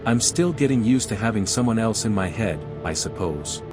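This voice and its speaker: A man voices a scholarly envoy in a fantasy role-playing game. He speaks in a lowish voice, composed and articulate, choosing his words evenly. Music plays clearly underneath. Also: animated, moderate pace, lightly acted. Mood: thoughtful. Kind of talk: video game acting. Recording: music behind the voice.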